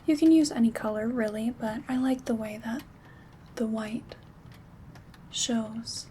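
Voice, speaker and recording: Slow, hushed woman acting a gentle witch. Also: a little high, slight background noise. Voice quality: soft-spoken, often slipping into whispers. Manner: unhurried, step-by-step instruction. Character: calm, gentle witch crafting herb bundles. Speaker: ASMR host. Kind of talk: ASMR roleplay, instructional. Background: rain sounds.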